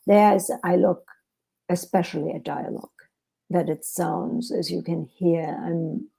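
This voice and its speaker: Author guest: German-accented woman, unhurried, gravelly, low-key, thoughtful. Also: steady tone, dark tone. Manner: thoughtful, hesitant with fillers and restarts. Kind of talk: podcast interview.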